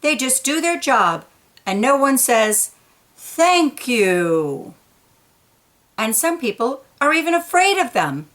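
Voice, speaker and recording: Woman reading slowly, with lively swooping intonation. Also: sits high. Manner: deliberate, emphatic phrasing with playful stress. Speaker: children's picture book reader and host. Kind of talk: expressive read-aloud of a children's picture book.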